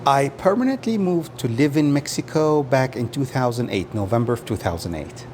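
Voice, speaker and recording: A man from Saudi Arabia, a native Arabic speaker, answering casually in an interview and often pausing and repeating words as he explains. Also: medium pitch, lively intonation, background noise. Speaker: interview guest.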